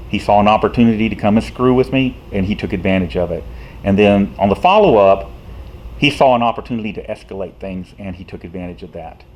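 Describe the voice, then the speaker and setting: A man, a citizen activist, talking casually to the camera in a frustrated, rhetorical way, halting often with fillers. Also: on the low side, a little mellow, poor audio.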